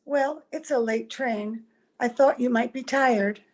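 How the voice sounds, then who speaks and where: A woman playing a wistful, secretive gentlewoman in old-time radio drama style, earnest and gentle with a melodramatic touch. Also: fairly high pitch, unhurried. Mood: gentle, concerned. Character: wistful, secretive mother posing as a young visitor. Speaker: actor in an amateur radio play. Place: at home, recorded over video call.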